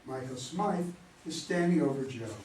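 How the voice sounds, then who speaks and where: A man's voice: mid-range voice, animated, moderate pace, a little mellow. A man reading out a play's cast list and stage directions as scripted narration, at some distance in a roomy space. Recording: roomy, distant mic, faint noise.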